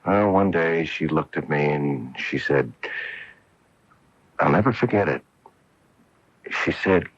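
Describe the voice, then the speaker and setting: An actor playing an earnest, wistful professional golfer in a radio mystery drama, with a husky voice. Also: male voice, rumbling low, lively intonation, slow, long pauses, heavily acted. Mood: rueful, wistful. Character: wistful, earnest male professional golfer recalling his wife. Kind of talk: radio mystery drama acting, narration and dialogue. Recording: dull lo-fi AM radio broadcast.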